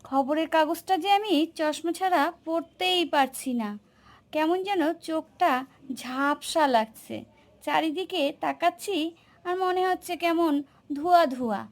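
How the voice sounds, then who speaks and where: Woman acting as forgetful cartoon father, high voice, fussy, fretful, complaining. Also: unhurried.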